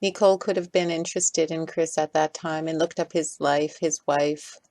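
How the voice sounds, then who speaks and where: A woman's voice: sits low, fairly even intonation, moderate pace, darkish tone, a little hiss or hum. A woman giving critical commentary as a video creator's voice-over. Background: background music.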